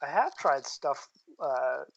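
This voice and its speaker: A man talking casually as an interview guest, candid and laid-back, with plenty of slang, on low-quality audio. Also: animated, slow, creaky. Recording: dull lo-fi call-quality audio.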